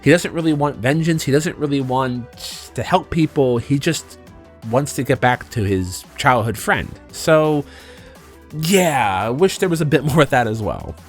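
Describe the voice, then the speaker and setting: A man's voice: medium pitch, expressive, unhurried. A man narrating a video game review in a conversational, emphatic way, as a channel host. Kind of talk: semi-scripted review narration. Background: background music.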